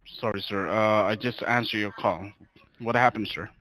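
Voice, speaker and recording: A man with a bright, sharp voice talks as a customer service agent. He is polite and formal, walking through procedure, with a very dry sound. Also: lowish voice, fairly even intonation, normal speed, dry recording, rough recording. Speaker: phone scammer posing as bank fraud agent. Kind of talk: scripted call-center talk.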